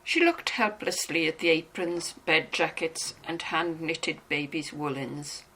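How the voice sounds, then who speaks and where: A woman's voice: deep voice, expressive, a little slow, bright, forceful tone, slight background noise, poor audio. A woman narrating a novel as a scripted, dramatized reading.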